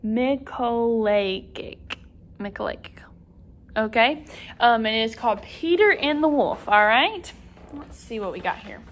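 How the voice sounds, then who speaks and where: A woman with a high voice teaches music to young children online. Her delivery is expressive, with lively, wide swings in pitch as she talks to the class and reads stories aloud. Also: a little slow, a little hiss or hum. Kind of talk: children's online lesson and story read-aloud.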